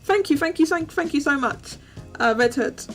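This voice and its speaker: A woman hosting a live call-in stream, chatty and upbeat, her voice going a little hoarse. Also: high voice, expressive, normal speed, over music. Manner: chatty, restarts often, upbeat. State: losing her voice. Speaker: host.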